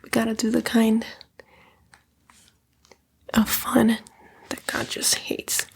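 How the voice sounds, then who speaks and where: A woman playing a coaxing, condescending female demon in a breathy, whispered ASMR roleplay. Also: a little high, little pitch movement, slow. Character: female demon, a fallen angel, coaxing and vain tempter.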